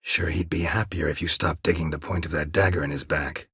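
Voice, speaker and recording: A man voicing a gruff, weary monster hunter in a fantasy video game, with a husky rasp and terse, deadpan, sardonic sentences. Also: a bass voice, fairly quick, no echo, full character voice. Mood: dry, guarded. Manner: terse, clipped, deadpan sentences with dry sarcasm.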